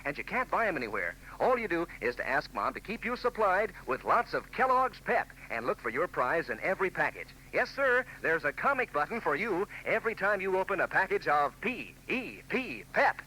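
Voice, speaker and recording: A man's voice: sits high, sing-song, normal speed, few pauses, bright, forceful tone, poor audio. A man reading a cheerful advertisement aimed at children on a 1940s radio show, hearty and salesy, on an old broadcast with faint noise. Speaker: announcer.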